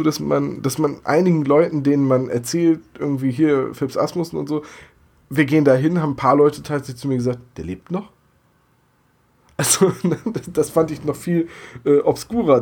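A man's voice: fairly high pitch, brisk, creaky, a little mellow, husky. A man from Bremen with a Bremen accent, chatting casually as a podcast host and telling stories with many hesitations. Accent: Bremen German. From Bremen, Germany. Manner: chatty, storytelling, frequent hesitations. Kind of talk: casual chat.

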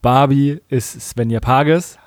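A man's voice: mid-range voice, takes it slowly, no echo, clean studio sound. A man co-hosting a podcast, chatting casually and jokingly with a partner. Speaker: podcast co-host.

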